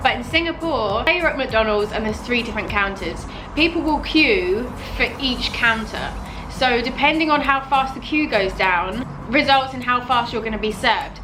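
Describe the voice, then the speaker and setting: A woman's voice: fairly high pitch, moderate pace, rough recording. Chatty British woman vlogger. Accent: British English. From United Kingdom. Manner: chatty, animated, fills with "like". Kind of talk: casual vlog commentary. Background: background music.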